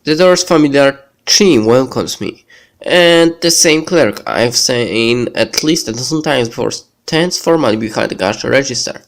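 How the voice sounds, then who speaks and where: A man who is a bit sick hosts a let's play, reading a visual novel script aloud in a halting, stumbling way. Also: medium pitch, lively intonation. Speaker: let's play video host. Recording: music behind the voice. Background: background game music.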